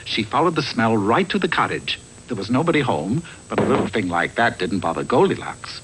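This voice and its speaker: A man's voice: medium pitch, big pitch swings, brisk, noisy background, natural voice. A man narrating a comic fairy tale in a wry, theatrical storyteller's manner, from a script.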